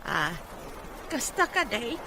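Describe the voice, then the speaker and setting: A woman's voice: fairly high pitch, very animated, slow pace, background noise, exaggerated voice. A woman playing a protective, outspoken older mother in an Ilocano audio drama. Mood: motherly. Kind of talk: Ilocano radio-style drama acting.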